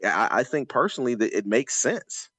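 A man's voice: medium pitch, sing-song, moderate pace, fairly bright tone, no room sound. A man giving casual, conversational sports analysis on a podcast.

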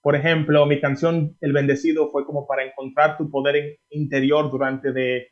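A Dominican-born singer being interviewed, answering in a chatty way with many fillers and restarts. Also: male voice, on the high side, level tone, moderate pace, lo-fi sound. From Dominican Republic. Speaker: singer guest.

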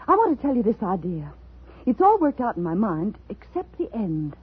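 A woman's voice: mid-range voice, sing-song, moderate pace, slightly rough. An actress playing a brittle, intense woman scriptwriter unsettled after illness, in a 1950s radio drama. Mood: uneasy, insistent. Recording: muffled, lo-fi old radio recording.

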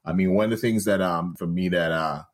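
A man with a Trinidadian accent and a very low, deep voice talks casually as a guest on a call. He laughs easily and often circles back, restarting sentences while he gathers his thoughts. He sounds like a man in his forties. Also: brisk. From Trinidad and Tobago. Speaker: guest, real estate investor. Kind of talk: casual interview talk. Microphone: on the line, remote call.

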